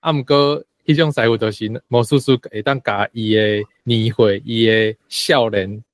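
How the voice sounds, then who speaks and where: A man's voice: fairly low pitch, fairly even intonation, normal speed, no echo. Taiwanese man in a dry, close discussion. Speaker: guest panelist. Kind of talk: conversational literary discussion.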